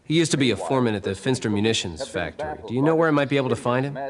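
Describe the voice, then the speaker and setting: An actor playing a skeptical 1940s American government investigator in a video game, asking direct questions with dry sarcasm. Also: male voice, mid-range voice, animated, racing, crowd noise, lightly acted. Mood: inquisitive. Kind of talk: video game cutscene dialogue.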